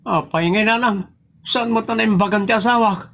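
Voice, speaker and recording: A woman's voice: mid-range voice, normal speed, gravelly, a bit bright, a little hiss or hum. A woman voicing an exasperated, skeptical, worried husband in a comic audio drama, with an Ilocano accent and grumbling complaints. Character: exasperated, skeptical husband worried about his sick wife.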